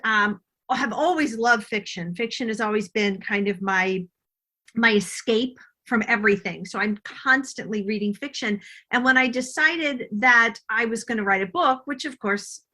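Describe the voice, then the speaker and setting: High, bright middle-aged woman guest. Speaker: guest author. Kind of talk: conversational interview answers.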